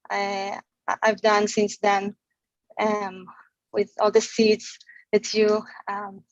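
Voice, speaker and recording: A woman in her forties from Israel, sharing her gratitude on a group video call, halting and full of fillers and restarts. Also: a little high, no echo, highs cut off. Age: 40s. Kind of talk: heartfelt casual sharing.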